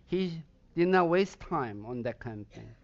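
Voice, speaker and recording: Swedish accented pastor guy, fairly high voice, preaching hard, kinda muffled lo-fi recording. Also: animated, measured pace. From Southern Sweden. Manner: emphatic preaching with rising bursts of intensity. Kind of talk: sermon.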